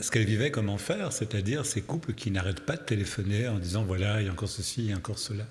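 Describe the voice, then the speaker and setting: A low-voiced man, speaking French as a second language, lectures in a hall with long reverb. He tells stories with dry, deadpan wit. He leans on rhetorical questions and repeats key phrases deliberately. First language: not French. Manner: wry, deadpan humour. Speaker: psychotherapist giving a public lecture. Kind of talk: public lecture with storytelling. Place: large reverberant hall, live talk.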